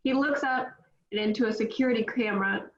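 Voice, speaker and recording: A woman reading stage directions in a steady, matter-of-fact way at a table read. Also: on the high side, fast talker. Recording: echoey, dull, faint noise.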